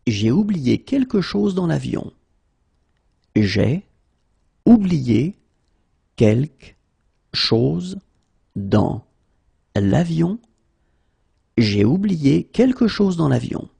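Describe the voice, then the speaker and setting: A man teaching French in a pronunciation drill, slowly repeating each phrase and then saying it word by word. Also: a little high, sing-song, vocal fry, dark tone, slightly rough, no room sound.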